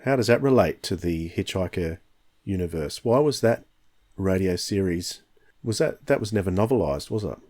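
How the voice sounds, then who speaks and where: A man's voice: low-pitched, expressive, moderate pace, a little mellow. Questioning male podcast host. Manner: inquisitive, asks leading questions. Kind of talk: casual chat.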